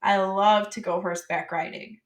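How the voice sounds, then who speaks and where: A woman from the United States teaching French vocabulary, saying each word slowly and then explaining it in casual English. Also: medium pitch, fairly even intonation, roomy sound. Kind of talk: vocabulary lesson.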